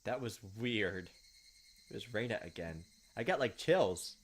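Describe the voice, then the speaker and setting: A man hosting a game video, reading visual novel text aloud with slow suspense and dropping into casual commentary. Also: lowish voice, expressive. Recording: clearly noisy home recording, clicks, insect sounds.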